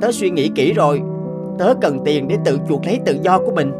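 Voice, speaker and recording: A man's voice: fairly high pitch, lively intonation, fairly quick, loud music behind the voice, lightly acted. An actor playing a humble, earnest sixteen-year-old enslaved boy inventor in a cartoon, polite and sincere. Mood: earnest, pleading. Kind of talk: cartoon dubbing.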